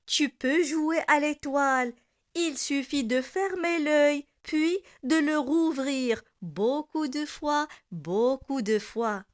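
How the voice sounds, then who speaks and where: High-voiced woman reciting very slowly. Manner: deliberate, with repeated lines and pauses. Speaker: poem reciter for primary school learners. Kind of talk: slow poem recitation for children.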